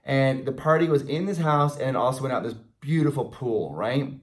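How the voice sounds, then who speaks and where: A man's voice: mid-range voice, moderate pace. Chatty middle-aged American man. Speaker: video co-host. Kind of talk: casual chat and storytelling to fans. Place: small indoor room in front of a logo banner.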